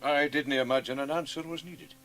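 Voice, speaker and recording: Man acting a high-pitched, dry Scottish manservant. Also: steady tone, fairly quick, a bit bright, a little hiss or hum, natural voice. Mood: dry doubt. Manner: deferential, dryly frank. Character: dutiful but dry, doubting manservant. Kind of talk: radio drama acting.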